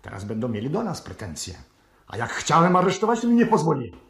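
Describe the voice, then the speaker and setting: An actor playing a stern, irritable colonel in a 1960s radio drama, with an authoritative, clipped delivery. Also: male voice, on the high side, huge pitch swings, fast talker, a bit bright, heavily acted. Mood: exasperated. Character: stern, irritated colonel, anti-communist security chief. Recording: roomy vintage radio studio recording.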